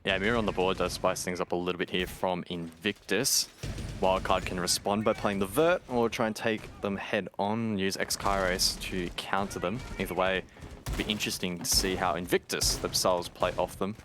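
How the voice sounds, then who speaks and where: Deep-voiced man calling esports play-by-play. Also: fairly even intonation, over music. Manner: brisk, rises in pitch at key plays. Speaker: esports caster. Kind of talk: play-by-play commentary.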